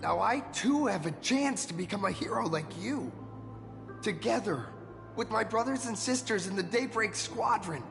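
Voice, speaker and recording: A high-pitched, earnest young man, an aspiring game hero who doubts himself. He speaks sincerely and hesitantly, in long reverb with music behind. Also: vocal fry. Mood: hopeful. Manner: sincere, hesitant, self-deprecating. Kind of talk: video game voice acting.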